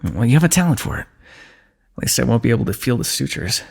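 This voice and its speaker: A man playing a weary, wry fantasy warrior in an ASMR roleplay, speaking in measured, formal phrases with dry teasing. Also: medium pitch, big pitch swings, gravelly, husky, exaggerated voice. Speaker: ASMR voice actor. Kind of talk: ASMR fantasy roleplay, one-sided dialogue.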